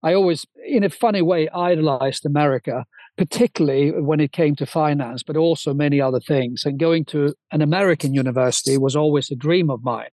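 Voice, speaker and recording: A man's voice: a little high, brisk, darkish tone, dry recording. A man in his sixties, originally from Sweden, telling his career story as a podcast guest with fluent enthusiasm and small restarts. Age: 60s. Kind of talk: interview storytelling.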